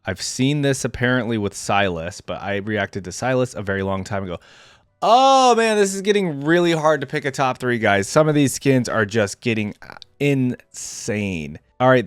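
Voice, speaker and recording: A man giving casual, unscripted reaction commentary as a gaming fan, slangy and thinking out loud as he second-guesses himself. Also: medium pitch, no echo, studio quality.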